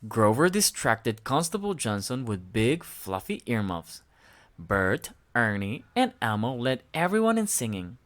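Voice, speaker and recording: A woman narrates a children's story aloud. Her delivery is slow and deliberate. Her pitch swings widely, giving each sentence an expressive, storytelling shape.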